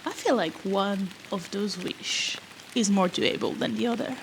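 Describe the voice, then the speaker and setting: A woman's voice: medium pitch, unhurried, lightly acted, poor audio. A woman playing a bratty, foul-mouthed female rogue in a fantasy audio roleplay, teasing the listener with cheeky, sarcastic banter. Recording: rain noise behind the voice.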